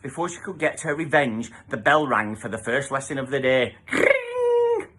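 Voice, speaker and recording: A man's voice: medium pitch, wildly swooping pitch, normal speed, a bit bright. A man reading a children's story aloud as a theatrical narrator, with emphatic sound-effect words. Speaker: storybook reader.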